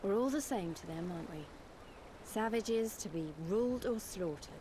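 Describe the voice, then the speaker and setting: A woman's voice: mid-range voice, animated, normal speed, background noise, close to an everyday voice. An actress playing an earnest, indignant young woman rebel in a video game, speaking with passion. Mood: bitter.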